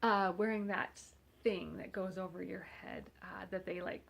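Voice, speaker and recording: A woman's voice: mid-range voice, fairly even intonation, moderate pace, creaky. A woman vlogging casually at home, nasal from congestion, rambling along with a wry, self-mocking humor. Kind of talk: casual chat.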